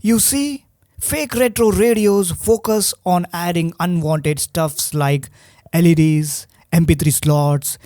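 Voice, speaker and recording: A presenter reads a scripted review at an unhurried pace. The voice is mellow and soft-edged, recorded dry and close. The delivery is wry, with rhetorical turns. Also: mid-range voice, dry recording. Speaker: presenter of a radio review video. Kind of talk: scripted narration.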